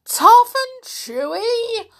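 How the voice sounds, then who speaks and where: A woman's voice: very high-pitched, big pitch swings, creaky, a bit bright, pitched above the performer's own voice, full character voice. A woman voicing a greedy, boastful enormous male crocodile, drawing out her words with relish. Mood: indignant. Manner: gloating, drawn-out words. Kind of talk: storybook read-aloud.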